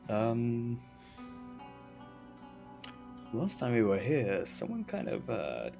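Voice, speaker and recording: A man's voice: medium pitch, huge pitch swings, measured pace, gravelly. A young man giving casual, rambling, hesitant commentary while streaming a game. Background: faint game music.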